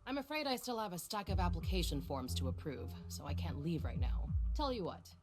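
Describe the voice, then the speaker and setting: Silky, condescending lab director, lowish voice. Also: female voice, big pitch swings, fairly quick, music in the background. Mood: coaxing, condescending. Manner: silky, teasing, patronizing. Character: smooth, condescending, manipulative woman research director. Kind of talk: video game voice acting.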